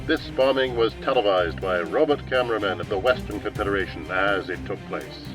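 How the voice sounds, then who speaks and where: An actor playing a grave, indignant propaganda newscaster, declaiming pompously in an old-time radio drama. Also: male voice, on the low side, fairly quick, lightly acted. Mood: grave, urgent. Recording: distant mic under loud music. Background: music.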